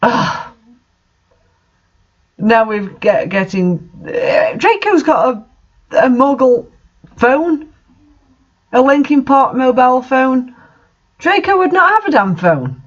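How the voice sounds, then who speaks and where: A man's voice: very high voice, sing-song, slow pace, long pauses, muffled recording. A man doing a mocking read-aloud of bad fan fiction, with incredulous, sarcastic asides in casual commentary.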